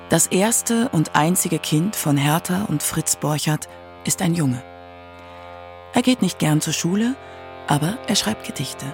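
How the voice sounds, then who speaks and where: A narrator reading a scripted radio documentary in a measured literary manner. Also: mid-range voice, darkish tone.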